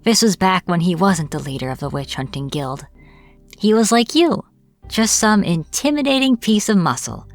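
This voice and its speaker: A woman's voice: fairly low pitch, expressive, normal speed, no room sound, soft background music. A woman acting as a captured witch in a fantasy audio roleplay, irritable and defiant, speaking informally with sarcastic exasperation. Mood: bitter recounting. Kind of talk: dramatic fantasy audio roleplay, addressed to the listener.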